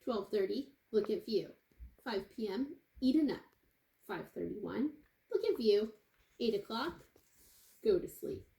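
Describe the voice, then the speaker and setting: A woman reading a children's storybook aloud like a kindergarten teacher, with expressive, deliberate phrasing for young listeners. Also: mid-range voice, creaky. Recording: echoey room with faint noise.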